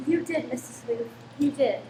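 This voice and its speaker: A woman's voice: high voice, normal speed, warm tone, lightly acted. A woman playing an anxious, flustered, protective mother and landlady in a radio play, speaking hesitantly. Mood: appeasing. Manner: hesitant, nervous, appeasing. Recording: echoey room with faint noise.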